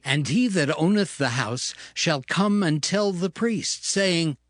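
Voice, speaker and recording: A man narrating scripture in a measured, steady cadence. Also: a little high, dry recording, studio quality. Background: music.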